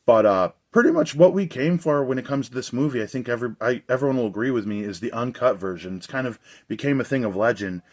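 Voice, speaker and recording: A young man with a slightly hoarse voice, chatting casually and enthusiastically as he reviews a movie release for his video channel. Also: medium pitch, fast, few pauses.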